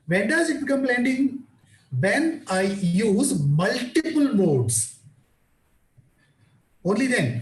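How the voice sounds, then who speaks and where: Indian-accented male lecturer, methodical, roomy video-call sound. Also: high voice. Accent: Indian English. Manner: explanatory, repeats parallel phrases. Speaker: guest lecturer. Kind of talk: answering questions at an online conference.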